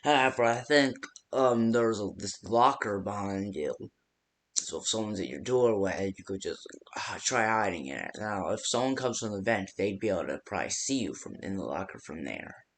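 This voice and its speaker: A man's voice: fairly low pitch, expressive. A man playing a nervous, hesitant coworker who leaves stammered security tips by phone in an amateur horror game. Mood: hesitant, explaining. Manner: hesitant, halting, stammering delivery. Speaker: voice actor in fan-made game.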